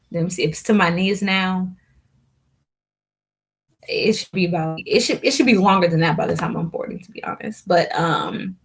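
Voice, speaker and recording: A young woman chatting casually to viewers on a live stream, rambling with lots of fillers and restarts. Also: medium pitch. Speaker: hair vlogger hosting a live Q&A.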